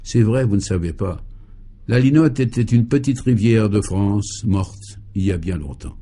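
A man's voice: lowish voice, unhurried, dark tone, husky. A man voicing a proud, dreamy old professor in a French comic radio serial, speaking with ceremonious, solemnly absurd courtesy. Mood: wistful, tender.

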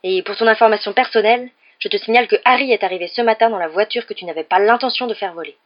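A woman's voice: mid-range voice, fast talker, muffled recording, pitched above the performer's own voice, lightly acted. Fierce, scolding storybook mother, acted by a woman reader. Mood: furious. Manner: emphatic, accusing. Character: fierce scolding wizard mother, sharp-tempered, indignant.

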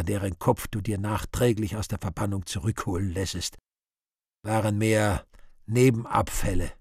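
An actor playing an urbane, sardonic Devil in a radio play, speaking with deliberate irony and mock courtesy. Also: male voice, lowish voice, gravelly, a little mellow, dry recording, studio quality. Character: urbane, sardonic Devil interrogating dead sinners. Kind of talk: theatrical radio play monologue.

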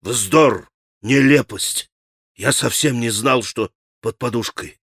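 A man's voice: mid-range voice, takes it slowly, vocal fry, husky, no echo, voice pushed down, full character voice. A man voicing a frantic, hot-tempered accused man who protests his innocence in an agitated, insistent, breathless way, as in a dramatic audiobook. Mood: desperate, insistent. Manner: agitated, shouting, rambling, repeating himself. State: gasping for breath. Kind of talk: dramatic audiobook character acting.

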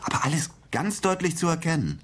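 Husky, naive, easily awed man. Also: on the high side, sing-song, brisk, fairly bright tone. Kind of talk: German radio crime comedy acting.

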